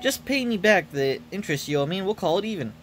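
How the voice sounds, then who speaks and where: A man's voice: sits high, lively intonation, fast talker, pitched above the performer's own voice. A man voicing a greedy, scheming goddess moneylender in a game, breezy, pushy and self-satisfied, over music. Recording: loud game music behind voice.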